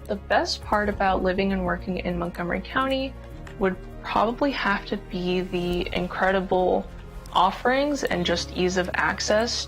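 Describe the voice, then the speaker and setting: A woman born in the United States speaking in a composed, even way for a short documentary interview. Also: lowish voice, low-quality recording. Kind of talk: interview voice-over.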